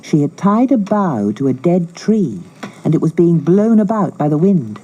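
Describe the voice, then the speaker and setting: A woman narrating a children's storybook in a measured, expressive, scripted style. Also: on the low side, poor audio. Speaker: audiobook narrator.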